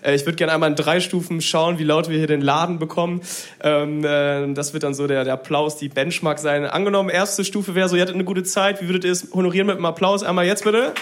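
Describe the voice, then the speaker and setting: A man warming up a live audience as a comedy show host, speaking informally in a rambling, hesitant way on stage. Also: a little high, fairly even intonation, quick pace, a little hiss or hum. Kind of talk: stand-up crowd warm-up.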